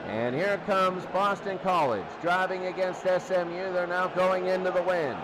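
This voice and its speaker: A man calling football play-by-play, getting excitable when the action picks up. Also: high-pitched, moderate pace. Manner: excitable on big plays. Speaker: play-by-play sports commentator. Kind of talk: American football game commentary. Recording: clear background noise.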